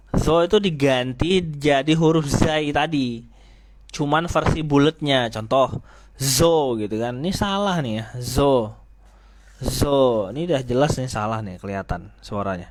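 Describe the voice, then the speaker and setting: A male Quran teacher explains how to shape each letter, demonstrating the sounds slowly and repeating them for practice. His voice sits at an ordinary middle pitch and his manner is relaxed, even joking. A faint hiss runs under the recording. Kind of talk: tajwid lesson explaining letter articulation.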